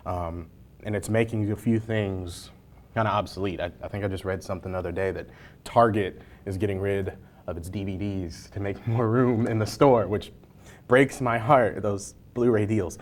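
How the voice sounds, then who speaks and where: A man hosting a casual web interview, speaking informally with jokey asides. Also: on the low side. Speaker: interview host.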